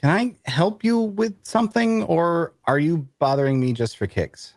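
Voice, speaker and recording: A man's voice: fairly high pitch, normal speed, no room sound. A man playing a grumpy, evasive loner in a fantasy audio drama, speaking in a dry, curt, hesitant way. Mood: wary, dismissive. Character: guarded, grumpy, evasive loner male traveler. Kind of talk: dark fantasy audio drama table read.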